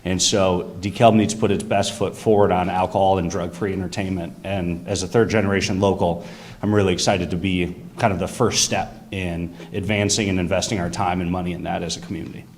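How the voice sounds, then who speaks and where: A deep-voiced young man speaks up in a council chamber, his voice naturally loud and carrying. He lays out his business plan with eager enthusiasm, moving through details point by point. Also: level tone, fairly quick. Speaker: business owner presenting to a council. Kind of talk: public comment at a meeting.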